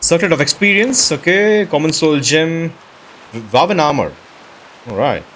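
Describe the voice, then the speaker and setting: A man's voice: fairly high pitch, animated, measured pace, a bit bright, a little hiss or hum. A man giving casual let's-play commentary as a gaming host, thinking aloud in short questions. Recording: music behind the voice. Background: game music.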